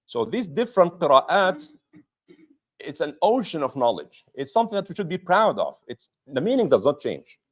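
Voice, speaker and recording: A man's voice: fairly high pitch, big pitch swings, moderate pace. A man giving a mosque lecture as imam, explaining patiently with rhetorical questions and repeating key points for emphasis.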